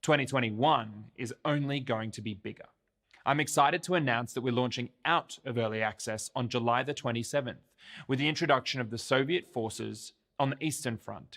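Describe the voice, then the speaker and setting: A man's voice: medium pitch, fairly bright tone. A man presenting as a game developer, delivering a scripted announcement.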